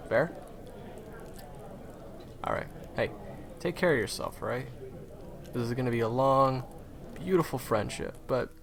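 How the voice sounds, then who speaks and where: A man's voice: medium pitch, gravelly. A man playing a friendly, chatty guide to monster hunters in an audio roleplay, explaining things casually and reassuringly straight to the listener. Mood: caring, friendly. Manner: casual, hesitant asides, explanatory. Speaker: voice roleplay performer. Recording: crowd chatter behind the voice. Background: busy crowd ambience.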